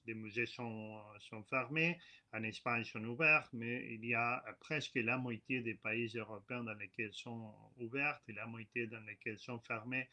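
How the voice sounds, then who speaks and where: Male guest lecturer, hesitant and full of fillers, crisp bright voice, speaking over a video call. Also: mid-range voice. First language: not French. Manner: halting, hesitant, frequent filler sounds and restarts. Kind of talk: online conference lecture. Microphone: computer mic on a video call.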